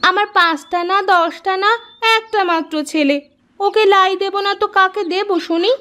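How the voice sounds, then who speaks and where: A woman playing a doting village mother and wife in a cartoon moral story, defensive and quick to bicker. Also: very high-pitched, steady tone. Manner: sharp retorts, indulgent of her son.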